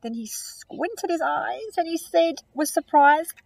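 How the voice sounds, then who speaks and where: A middle-aged woman teacher reading a picture book aloud to children in an expressive storytelling manner, recorded outdoors on a phone camera held close. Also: high-pitched, slow pace, gravelly, dry recording, low-quality recording. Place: outdoors in a grassy field.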